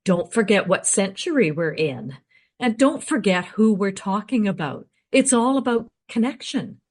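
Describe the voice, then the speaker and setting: A woman's voice: medium pitch, big pitch swings, a little slow, a little mellow. An actress playing a sensible, knowing woman filmmaker in a radio drama. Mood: knowing, explaining.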